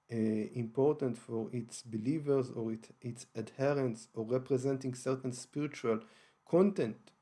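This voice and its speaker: A man's voice: medium pitch, dark tone. A man with an Israeli accent, hosting his channel and explaining his views on religion carefully, with frequent hesitations and restarts. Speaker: channel host. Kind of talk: unscripted explanatory talk.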